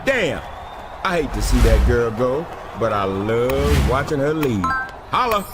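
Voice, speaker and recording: Jive-talking, flirty wrestling boss. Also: male voice, mid-range voice, big pitch swings, slow pace, loud music behind the voice. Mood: leering. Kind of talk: video game cutscene acting.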